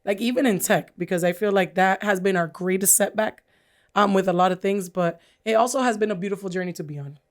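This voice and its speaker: Loud, pronounced-voiced woman co-hosting a podcast. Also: medium pitch, fast. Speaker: podcast co-host. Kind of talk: casual chat.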